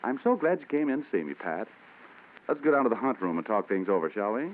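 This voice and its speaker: A man's voice: fairly low pitch, sing-song, fast, slight background noise. An actor playing a hardboiled male private eye who narrates with wry, flowery wisecracks, in 1940s radio acting style. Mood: coaxing. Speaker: detective narrator. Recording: tinny old radio broadcast with music.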